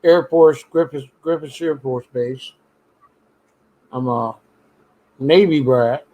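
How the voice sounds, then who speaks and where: A man's voice: mid-range voice, slow, darkish tone, low-quality recording. A man from New York hosting a live stream in casual chat, blunt and jokey with profanity.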